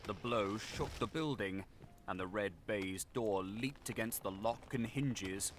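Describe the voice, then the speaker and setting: A man narrating a gothic audiobook in a measured, literary, dramatic delivery. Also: fairly low pitch, slight background noise.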